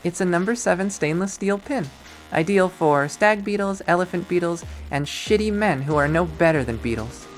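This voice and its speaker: A man's voice: high voice, animated, moderate pace, faint music behind, voice pushed up, rough recording. A man voicing a menacing young college woman in a visual novel, swinging between chirpy, icy and taunting. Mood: chirpy, gloating. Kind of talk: visual novel character acting.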